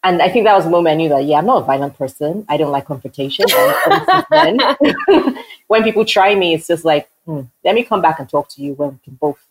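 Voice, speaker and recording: A woman hosting a casual interview, chatting and telling stories in a playful, animated way. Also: fairly low pitch, fast talker, noisy background. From Nigeria. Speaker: podcast host.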